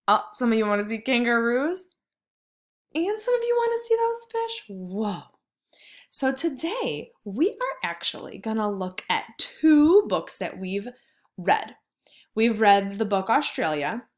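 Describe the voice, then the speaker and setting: A woman kindergarten teacher leading a read-aloud lesson, speaking in simple words and putting questions to her young pupils. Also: mid-range voice.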